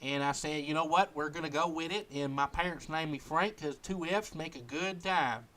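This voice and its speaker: A man's voice: a little high, normal speed, bright, forceful tone. A man playing a folksy, cocky country character with a drawling Southern accent and a blunt way of talking, in a comic interview. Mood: proud, folksy.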